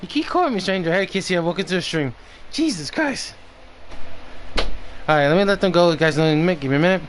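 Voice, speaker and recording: Higher-pitched man streaming a game, lively swings. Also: a little fast, a little hiss or hum. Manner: profane, grumbling. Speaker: game livestreamer. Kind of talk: casual stream chat.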